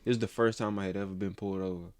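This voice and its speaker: A man's voice: low-pitched, steady tone, very fast, darkish tone, dry recording, clean studio sound. A man in his twenties hosting a casual podcast chat, rambling and swearing freely with lots of fillers and restarts. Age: 20s. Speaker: podcast host.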